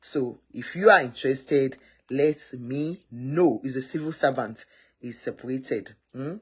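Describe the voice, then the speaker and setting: A woman's voice: a bass voice, a little slow, slightly rough. A woman hosting a casual live matchmaking stream, rambling and often correcting herself.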